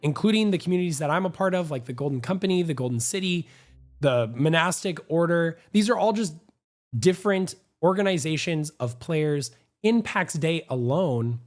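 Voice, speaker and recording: Hoarse, nasal man hosting a gaming show. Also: on the high side, lively intonation, normal speed. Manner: upbeat, talkative, self-assured. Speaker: gaming channel host. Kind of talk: energetic gaming news commentary.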